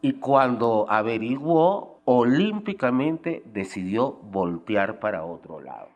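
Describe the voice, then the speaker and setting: A man's voice: medium pitch, big pitch swings, slow. A man reminiscing in an interview, speaking colloquially with some salty language. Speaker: interviewee, former guerrilla radio member.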